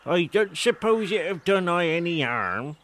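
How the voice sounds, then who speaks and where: A man's voice: sits high, very animated, a little slow, fairly bright tone, voice pushed up, exaggerated voice. A man voicing a ninety-year-old country gardener in an audio drama, with a Wiltshire accent and rustic dialect grammar. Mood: rustic, unhurried.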